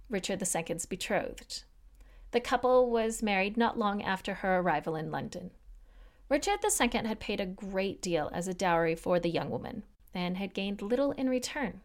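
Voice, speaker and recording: A woman hosting a history podcast, reading scripted narration in an explanatory way with casual, lightly wry asides. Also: medium pitch.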